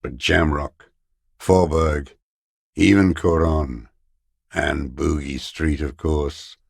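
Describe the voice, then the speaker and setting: A man playing a bitter old communist deserter in a video game, muttering in contemptuous, terse fragments with a gravelly, raspy voice. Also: a bass voice, steady tone, slow pace, no echo, clean studio sound, full character voice. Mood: wistful. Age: older. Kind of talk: video game character voice acting.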